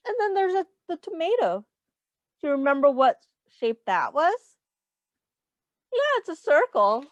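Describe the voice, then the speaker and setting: Casting: female early-years teacher, slow and very expressive, doing an interactive children's read-aloud. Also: high voice, no echo, muffled recording. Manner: instructive, explains in simple words, repeats and counts aloud; addresses children directly, pausing for their answers. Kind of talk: children's read-aloud with questions to young listeners.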